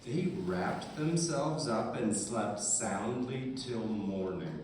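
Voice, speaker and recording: A man narrating a fairy tale live for a puppet show, reading from a script in a deliberate, expressive way. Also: medium pitch. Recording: very echoey room, distant, faint noise.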